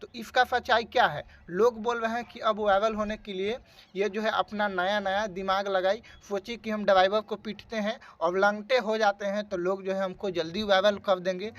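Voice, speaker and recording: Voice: mid-range voice, steady tone, normal speed, a bit bright. An interviewer of unclear sex with Bhojpuri-influenced Hindi, pressing a caller with repetitive, accusatory questions. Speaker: interviewer for an online news channel.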